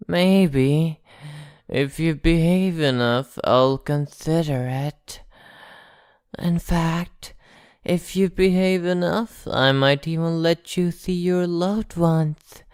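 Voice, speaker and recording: A woman playing an arrogant, possessive vampire captor in an ASMR roleplay, haughty, teasing and softly threatening. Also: sits low, slow pace, clear, resonant tone, no room sound, clean studio sound. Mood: possessive, gloating.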